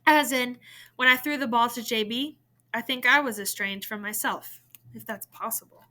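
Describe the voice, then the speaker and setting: A woman reading a novel in verse aloud, in an expressive storytelling style. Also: on the high side, moderate pace.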